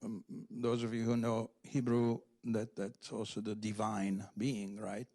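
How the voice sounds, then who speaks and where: A man giving an unscripted, explanatory literary talk in a halting, scholarly manner, often checking in with a questioning tag. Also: fairly low pitch, level tone, dark tone. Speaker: literary translator on a panel. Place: public library event before an audience.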